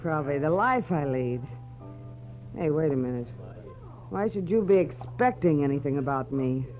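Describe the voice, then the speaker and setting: An actress playing a tough, slangy, hard-drinking fortune teller in a 1940s radio drama. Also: female voice, low voice, expressive, normal speed, faint music behind. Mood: wary, boastful. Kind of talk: 1940s radio detective acting. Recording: dull old 1940s radio recording.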